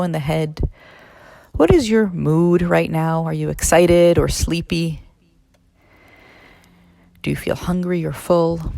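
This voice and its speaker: A woman narrating a guided meditation as an instructor, in a measured, instructional way with pauses between phrases. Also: on the low side, vocal fry, a little mellow, no room sound. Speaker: neurologist leading a guided meditation.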